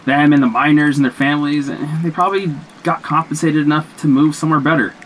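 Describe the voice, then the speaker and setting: Male actor as cocky young slacker, horror audio drama. Slightly high voice, dismissive, slangy, naturalistic. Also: steady tone, fast talker, a bit bright, a little hiss or hum, lightly acted, poor audio. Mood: coaxing.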